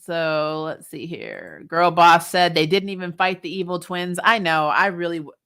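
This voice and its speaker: A woman's voice: fairly low pitch, moderate pace, bright, forceful tone, clear, resonant tone. A woman chatting casually as host of a recap stream, blunt, sarcastic and emphatic, swearing freely and sounding exasperated. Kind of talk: casual opinionated livestream review chat.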